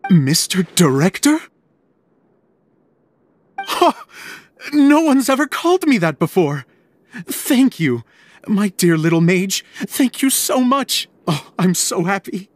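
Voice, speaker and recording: A man acting as an earnest, theatrical storybook narrator in a video game, with an expressive delivery. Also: high voice, takes it slowly, long pauses, heavily acted. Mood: delighted. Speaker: narrator of a play. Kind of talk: scripted fairy-tale narration in game.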